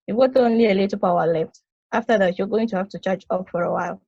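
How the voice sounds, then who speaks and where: A woman playing a wry, weary, ancient space probe in a stage play table read, speaking in a dry, matter-of-fact way with a husky voice. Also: mid-range voice, fast, dark tone, dry recording. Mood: reassuring. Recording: dull, lo-fi close dry recording.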